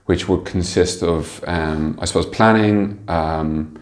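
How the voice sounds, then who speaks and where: Male interview guest, low register, conversational delivery with halting, think-as-you-go phrasing. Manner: hesitant, halting, thinking aloud. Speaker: interview guest, team manager.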